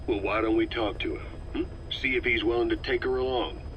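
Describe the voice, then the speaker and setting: An actor playing a shrewd, calm, calculating military veteran in a video game, measured and self-assured. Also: male voice, mid-range voice, lo-fi sound, lightly acted. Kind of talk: dramatic game voice acting. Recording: faint background noise.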